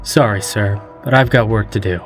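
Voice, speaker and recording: An actor playing an earnest, devoted young lover with a defiant streak in a fan dub drama. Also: male voice, lowish voice, moderate pace, natural voice. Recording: music behind the voice.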